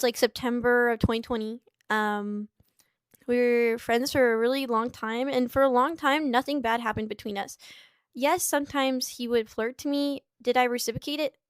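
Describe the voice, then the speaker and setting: A young woman in her twenties talks about personal matters in a halting, earnest way, often breaking off and starting again. Her voice sits high. The recording is dry and close, with almost no room sound. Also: steady tone, smooth, dry recording. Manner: halting, tearful at times. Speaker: streamer in a played clip. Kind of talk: emotional personal account. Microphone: small clip-on mic.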